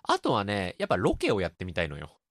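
A man co-hosting a radio show, chatting in a casual, colloquial and animated way. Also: low-pitched, fast talker, dry recording, studio quality. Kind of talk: casual group chat.

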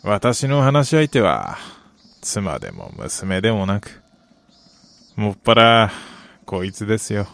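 An actor playing a middle-aged, wistful gambling soccer fan in a radio drama, polite and measured with a rueful, quietly menacing edge. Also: male voice, fairly low pitch, very animated, gravelly, no room sound, full character voice. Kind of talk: Japanese radio drama acting.

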